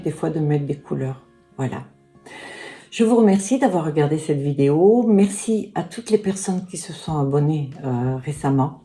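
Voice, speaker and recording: A woman's voice: low voice, dark tone. A woman in her sixties chatting casually through a makeup tutorial and product review, filling her talk with little asides. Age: 60s. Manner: chatty, fills with "hein" and "voilà". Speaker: beauty vlogger.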